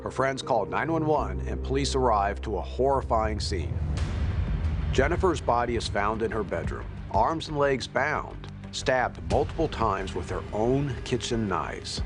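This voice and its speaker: A man's voice: mid-range voice, lively intonation, a little slow, over music. A man speaking as the host and investigator of a documentary, narrating and asking questions.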